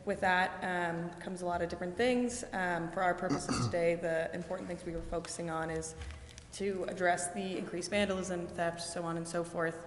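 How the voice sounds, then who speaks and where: A woman with a slightly low voice walks a city council through a vendor presentation. She talks steadily and explains things, with a lot of ums along the way. The sound is roomy, with a long echo from the chamber and a faint hiss behind it. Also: steady tone, normal speed. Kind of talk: sales presentation walking through slides. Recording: echoey, reverberant council chamber with faint noise.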